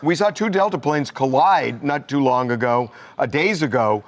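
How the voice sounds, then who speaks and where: A man's voice: mid-range voice, normal speed. A man hosting a live news talk show, interviewing in a conversational way.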